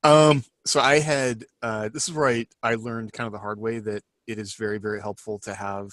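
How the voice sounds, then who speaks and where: Low-voiced man in casual podcast chat. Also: flat delivery, normal speed, a bit bright, no room sound. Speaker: podcast co-host and author.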